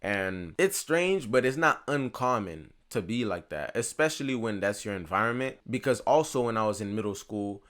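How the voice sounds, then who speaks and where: A young man with a Haitian accent, telling a casual, slangy story in a solo monologue with animated asides. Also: lowish voice, moderate pace, few pauses. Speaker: monologue host.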